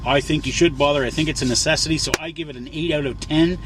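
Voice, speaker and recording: Trucker guy chatting to camera, kinda high voice, folksy n rambly, noisy truck cab behind him. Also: fairly even intonation, quick pace. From Canada. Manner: rambling, folksy, repeats himself for emphasis. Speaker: truck driver and vlogger. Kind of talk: casual explanatory vlog talk. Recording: clear vehicle and outdoor noise. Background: vehicle and outside noise.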